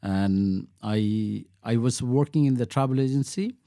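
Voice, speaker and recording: Halting Bangladeshi-born man, mellow voice. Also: mid-range voice, dry recording, clean studio sound. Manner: halting, many restarts and fillers. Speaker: local councillor, guest. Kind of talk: interview answers.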